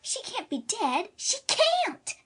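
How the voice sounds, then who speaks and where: A woman voicing a tender-hearted little girl with a breathy voice, earnest and emotional, in a dramatic audiobook. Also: very high voice, wildly swooping pitch, takes it slowly, a bit bright, heavily acted. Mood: distraught.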